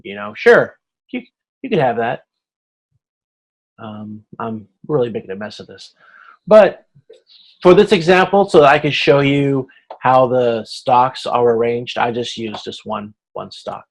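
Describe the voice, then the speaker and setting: A man instructing in an informal online tutorial, thinking aloud in a halting way, correcting himself and checking that he is being followed. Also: medium pitch, lively intonation, poor audio. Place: online video conference.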